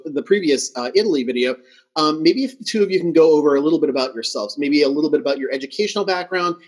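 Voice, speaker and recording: A man's voice: on the high side, husky. Man hosting a podcast, welcoming manner. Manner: welcoming, appreciative. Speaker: podcast host.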